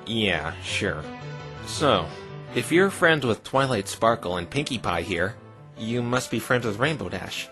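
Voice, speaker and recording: A man's voice: fairly low pitch, expressive, unhurried, music in the background. A man playing a dry, sarcastic, easily exasperated young defense lawyer in a fan-dubbed visual novel. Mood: dry, questioning. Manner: dry, sardonic. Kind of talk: fan-dub visual novel character acting.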